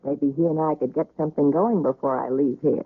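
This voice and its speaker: A woman's voice: low voice, steady tone, brisk, slightly rough. An actress playing a flippant, evasive woman hospital patient with a dry, playful manner in a 1970s radio drama. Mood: teasing, evasive. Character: wry, flippant woman heart-surgery patient, teasing and evasive. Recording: tinny old radio broadcast recording.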